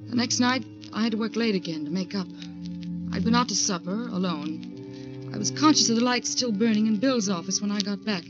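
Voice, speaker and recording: A woman's voice: mid-range voice, brisk, natural voice. An actress with an American accent playing an anxious young career woman in a dramatic 1940s radio thriller. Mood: haunted, brooding. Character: anxious young career woman narrating her own haunting. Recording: dull 1940s radio recording with music.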